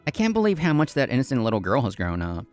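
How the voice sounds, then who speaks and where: A man's voice: medium pitch, huge pitch swings, fast, soft background music. A man playing an earnest, polite young café assistant manager, narrating his own story in scripted first person. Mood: earnest, reflective. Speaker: narrator and lead actor of a manga story video.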